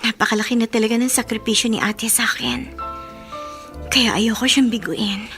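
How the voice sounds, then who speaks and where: A woman playing a timid, respectful young student and younger sister in a radio drama, speaking softly and hesitantly. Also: fairly high pitch, fairly even intonation, normal speed, soft background music. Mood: troubled, guilty. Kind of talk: Tagalog radio drama acting.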